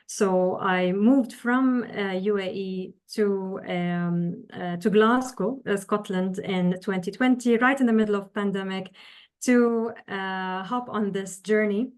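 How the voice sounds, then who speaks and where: Woman, 30s, from Syria, interview guest, middle pitch, earnest, measured, pausing. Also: smooth. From Syria, raised in the UAE. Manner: measured and earnest, with many pauses as she explains. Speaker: guest, engineering professor. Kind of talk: podcast interview answers.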